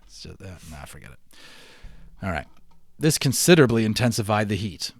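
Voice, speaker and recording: A man giving an expressive, enthusiastic dramatic reading of a children's novel, drawing out key words and slipping into casual asides. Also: lowish voice, brisk, no echo, clean studio sound. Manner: expressive, enthusiastic storyteller, emphasizes and draws out words. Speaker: amateur audiobook reader.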